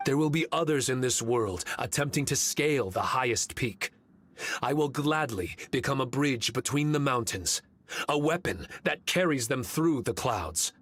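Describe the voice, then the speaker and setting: An actor playing a solemn, loyal guardian spirit in a video game, speaking in a formal, measured, earnest way. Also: male voice, medium pitch, animated, fairly bright tone, slight background noise, close to an everyday voice. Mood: resolute, solemn.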